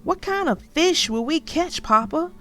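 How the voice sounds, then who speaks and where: A woman's voice: high-pitched, expressive, measured pace, pushed and bright, soft background music, pitched above the performer's own voice, exaggerated voice. A woman voicing an eager, outspoken young boy bear cub from a storybook, with childlike directness. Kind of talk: storybook character voice.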